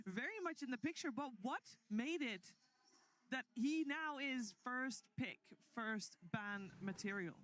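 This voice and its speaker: A woman with a fairly high voice runs a live esports analysis desk. She puts leading questions to the panel and stretches key words for emphasis. Her pitch sits above the usual for women. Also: fairly bright tone. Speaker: esports broadcast host.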